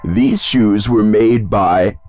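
A man's voice: on the low side, slow, a little mellow, faint music behind. A man playing a kindly, proud old shoemaker in a fairy-tale cartoon, speaking expressively. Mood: bright, proud. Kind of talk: animated fairy-tale dialogue.

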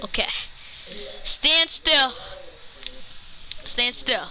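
High-pitched, animated roleplayer on a noisy mic. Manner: halting, repeats words. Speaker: player acting out a roleplay. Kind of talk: improvised video game roleplay acting. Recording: noisy home game recording with clicks.

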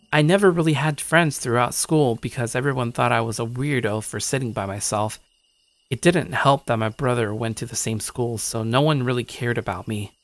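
A man's voice: medium pitch, close to an everyday voice. A man playing a shy, awkward young loner gamer, narrating his own story in a self-deprecating way. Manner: self-deprecating, stammering when talking to others. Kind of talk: first-person story narration with acted dialogue.

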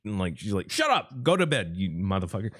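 Low-voiced man with swooping pitch. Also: sing-song, brisk, fairly bright tone. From Los Angeles, USA. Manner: informal, heavy swearing, exclamatory slang. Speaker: interviewer, podcast host. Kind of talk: casual chat. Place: remote online call.

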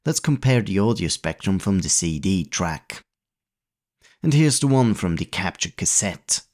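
Low-ish guy narrating a retro tech tutorial, calm and step by step. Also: unhurried. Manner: calm, methodical explaining. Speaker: retro computing video host. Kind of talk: scripted tutorial voice-over.

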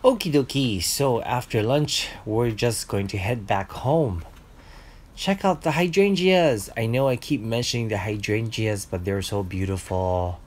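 A man's voice: on the low side, unhurried. A man in his forties narrating a casual vlog, explaining food and his neighborhood to viewers in a chatty way. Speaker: vlogger.

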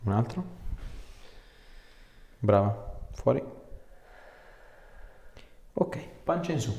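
Low-voiced man in an echoey room. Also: animated, drawn-out words, gravelly, dark tone. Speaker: osteopath giving a treatment. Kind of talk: casual chat with short instructions to a patient. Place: small bare treatment room, indoors. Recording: echoey small room, audible noise.

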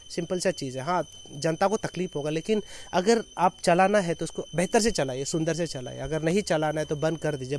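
A man's voice: a little high, slight background noise. A man in his thirties with a Northeast Indian accent from Arunachal, giving an earnest press statement outdoors and repeating phrases in lists for emphasis. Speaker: foundation chairman, activist. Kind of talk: press statement, spoken off the cuff. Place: outdoors in an open clearing with trees. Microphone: handheld reporters' microphones held at chest height.

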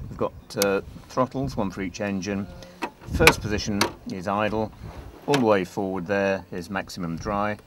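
Low-voiced older man over loud background noise. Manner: halting, matter-of-fact, explanatory. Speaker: pilot giving a cockpit tour. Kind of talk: informal guided technical walkthrough. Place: inside a small jet cockpit on the ground. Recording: loud outdoor ambient and vehicle noise.

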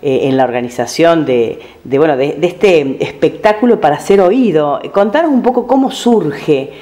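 A man's voice: a little high, lively intonation, moderate pace, warm tone. An Argentine man hosting an informal radio interview, introducing and questioning a guest.

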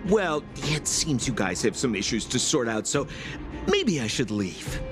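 A man playing a slippery, cowardly young dealer in a video game, smooth-talking and placating. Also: mid-range voice, big pitch swings, unhurried, music in the background. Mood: nervous, placating. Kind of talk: video game character acting.

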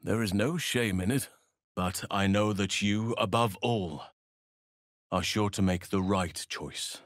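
An actor playing a calm, wise elven druid man in a video game, speaking in a measured, formal, earnest way. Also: on the low side, no room sound, studio quality. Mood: grave, gentle. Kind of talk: video game character voice lines.

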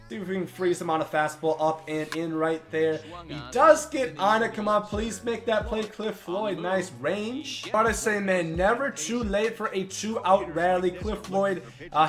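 A young man doing casual, excitable play-by-play over his own video game, a bit congested from a cold, in a small room at home. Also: high-pitched. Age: young adult. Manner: excitable play-by-play, calls hits like a broadcaster. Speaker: gaming YouTuber. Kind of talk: casual gameplay commentary.

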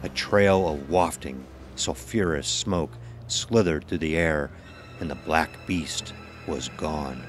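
Extremely deep male horror narrator. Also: slow pace, music in the background. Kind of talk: scripted horror narration, literary and dramatic. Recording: noisy ambient sound bed.

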